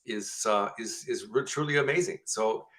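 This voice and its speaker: A man in his sixties hosting an interview show, chatting casually and warmly affirming his guest with many "you know"s. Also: fairly low pitch, moderate pace. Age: 60s. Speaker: host.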